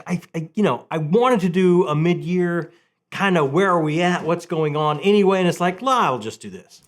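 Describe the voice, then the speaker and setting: Higher-pitched man chatting keenly about books. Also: expressive, normal speed. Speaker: book vlog host. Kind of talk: casual enthusiastic book chat.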